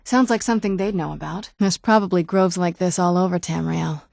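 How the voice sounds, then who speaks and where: A woman's voice: lowish voice, steady tone, brisk, creaky, a little mellow, no room sound. A woman voicing a young-looking vampire noblewoman companion in a video game, wry and guarded, with a dry conversational delivery. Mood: wry, thoughtful. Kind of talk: video game character dialogue.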